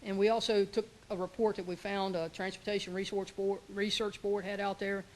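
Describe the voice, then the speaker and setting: A man's voice: sits high, little pitch movement, fairly quick, a little hiss or hum, low-quality recording. A man giving an informal conference presentation, with many fillers and self-corrections. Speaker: presenter, transportation official.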